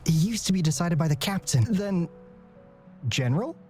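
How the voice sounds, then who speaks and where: Hesitant, earnest young racer, lively pitch. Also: male voice, moderate pace, faint music behind. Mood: thoughtful, polite. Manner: polite, tentative, thoughtful. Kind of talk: video game voice acting.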